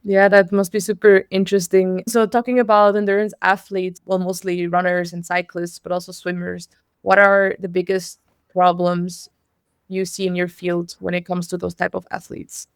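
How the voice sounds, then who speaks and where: A woman's voice: fairly low pitch, level tone, moderate pace, clear, resonant tone, dry recording, studio quality. A woman co-hosting a podcast, asking interested, engaged questions. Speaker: podcast host.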